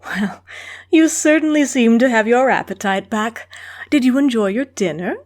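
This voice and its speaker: A woman's voice: fairly high pitch, moderate pace, a little mellow, close to an everyday voice. A woman playing an affectionate elderly aunt in a comic dub, motherly, concerned and genteel. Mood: fond, fussing.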